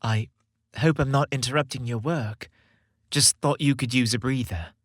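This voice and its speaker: A man's voice: medium pitch, moderate pace, fairly bright tone, no room sound, clean studio sound, natural voice. A man voicing a considerate, earnest young man in a romantic storybook tale, with a reassuring manner. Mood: concerned, gentle. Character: gentle, considerate, tall young man in love.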